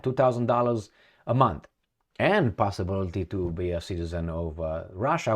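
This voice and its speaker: A middle-aged man with a Romanian accent speaks in a lowish voice and delivers a ranting political commentary. He swears freely and jabs at his targets with sarcastic rhetorical questions.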